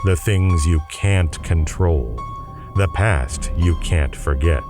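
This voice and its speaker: A male announcer narrates a drama serial in a deep, unhurried voice. The delivery is theatrical and portentous, with prominent music underneath.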